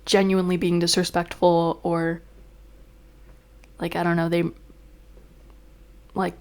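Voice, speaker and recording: A young woman vlogging in a chatty, rambling way, full of fillers, a little congested and sniffling. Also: mid-range voice. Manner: chatty, venting, exasperated. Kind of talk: casual chat.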